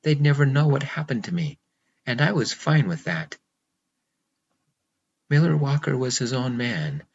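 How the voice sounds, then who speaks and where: A man narrating a first-person romance novel in a measured, intimate way. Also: medium pitch, lively intonation, gravelly, slightly rough, muffled recording. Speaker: audiobook narrator.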